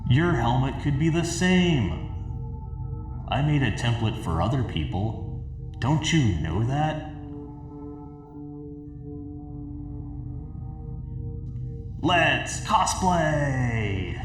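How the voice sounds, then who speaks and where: A man voicing a bitter, taunting video game villain with a menacing, drawn-out delivery over music. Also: mid-range voice, big pitch swings, reverberant. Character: bitter, taunting male game villain obsessed with his costume.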